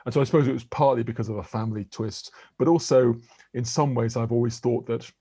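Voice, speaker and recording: A man in his sixties who grew up in England, an interviewed professor giving articulate, measured answers with occasional restarts. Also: mid-range voice, mellow, slightly rough, dry recording, studio quality.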